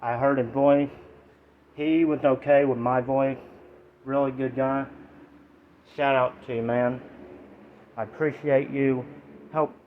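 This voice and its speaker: A man's voice: mid-range voice, level tone. Nasal young man on tinny audio. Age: 20s. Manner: halting, rambling delivery in short fragments. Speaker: YouTube vlogger reviewing products. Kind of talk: casual chat to camera, informal product review. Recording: tinny phone-like audio with background noise.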